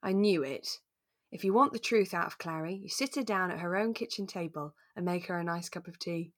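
A woman speaks as a podcast co-host in a casual, conversational way. Her voice sits slightly low for a woman. The sound is dry, with very little room in it. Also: a little fast, dry recording. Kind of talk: relaxed casual discussion of a radio drama.

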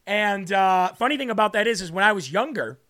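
A man with a raspy voice hosting a faith livestream, preaching and reading viewer comments aloud, often repeating phrases for emphasis. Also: sits high, expressive, brisk, pushed and bright. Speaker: host of a faith livestream show.

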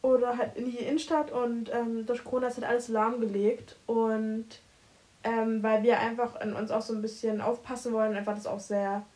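A woman's voice: on the high side, level tone, moderate pace, darkish tone. A woman in her twenties chatting casually as a podcast co-host, rambling and stopping often to search for words. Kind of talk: casual chat.

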